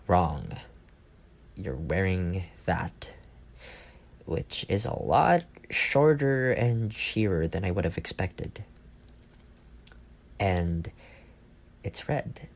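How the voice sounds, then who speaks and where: Casting: male actor as cocky, teasing office rival for intimate audio roleplay; lowish pitch, very unhurried delivery. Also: darkish tone, exaggerated voice. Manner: teasing, sardonic, stammers when caught off guard. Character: arrogant, bantering male office rival turned flustered admirer.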